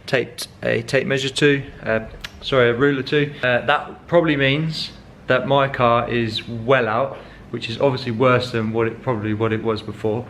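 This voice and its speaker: A man's voice: medium pitch, fairly even intonation, moderate pace, few pauses, fairly bright tone, slight background noise. A young man chatting casually in a car vlog, rambling with many ums and correcting himself as he goes. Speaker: car vlogger.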